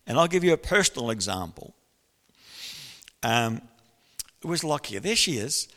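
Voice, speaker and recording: A middle-aged man preaching a sermon to his church with a gravelly voice, telling stories animatedly and breaking into laughter. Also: mid-range voice, moderate pace. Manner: animated storytelling with bursts of laughter. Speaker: pastor. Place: church hall. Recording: long church reverb.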